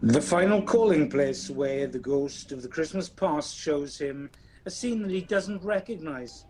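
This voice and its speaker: A man's voice: fairly high pitch, lively intonation, measured pace, darkish tone. A man acting as the storybook narrator of a ghost tale, reading scripted radio play narration. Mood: measured storytelling. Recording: faintly noisy home recording.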